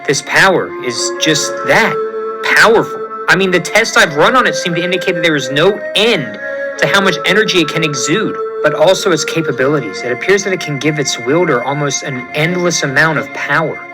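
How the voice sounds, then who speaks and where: A man voicing a calm scientist team leader who explains things precisely. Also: fairly high pitch, fairly quick, lightly acted, rough recording. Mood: explaining. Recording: music playing underneath.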